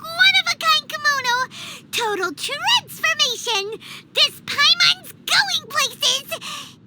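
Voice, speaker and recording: A woman voicing a tiny, excitable, childlike fairy sidekick in a video game, bubbly and dramatic, sweet and a little greedy. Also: extremely high pitch, very noisy, heavily acted. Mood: thrilled, dreamy. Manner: bubbly, dramatic, speaks in third person.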